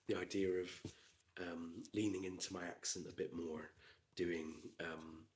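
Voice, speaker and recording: Low-voiced gloucestershire guy murmuring asmr chat, bit hoarse, lots of ums, slips into whispers. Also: little pitch movement. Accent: Gloucestershire English. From Gloucestershire, England. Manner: quiet, unhurried murmur with many ums, drifting into whispers. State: slightly hoarse. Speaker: ASMR creator. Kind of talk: soft-spoken ASMR chat while building.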